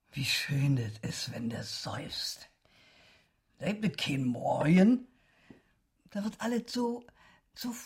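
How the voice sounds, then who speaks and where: A drunken, affectionate neighbour woman in a radio crime play. Her voice is strikingly husky and breathy, often sinking toward a whisper. She drawls very slowly and wistfully. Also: mid-range voice, darkish tone, exaggerated voice. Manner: slow, slurred tipsy drawl, half whispering. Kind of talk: German radio crime drama acting.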